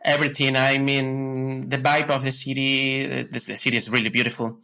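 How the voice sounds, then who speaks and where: Bright-voiced man, hesitant. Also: mid-range voice, flat delivery, moderate pace, vocal fry. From Ecuador. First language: Spanish. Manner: hesitant, many fillers and restarts. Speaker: podcast guest. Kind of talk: casual interview answers.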